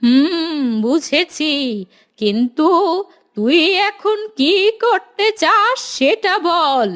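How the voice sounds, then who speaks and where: A woman's voice: sits high, expressive, very slow, a bit bright, soft background music, heavily acted. A woman voicing a scheming, greedy old village witch in a cartoon, with a cackling, conspiratorial delivery. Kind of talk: cartoon voice acting.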